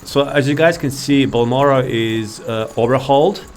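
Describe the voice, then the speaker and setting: A man's voice: medium pitch, normal speed, a little hiss or hum. A man giving casual, rambling gameplay commentary, laid-back and thinking aloud. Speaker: gaming video creator.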